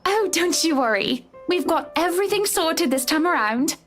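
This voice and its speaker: Very high-pitched, teasing wealthy wife. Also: female voice, expressive, moderate pace, fairly bright tone, faint music behind. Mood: assured, chatty. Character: Mrs Wright, chatty, teasing wealthy wife. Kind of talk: visual novel voice acting.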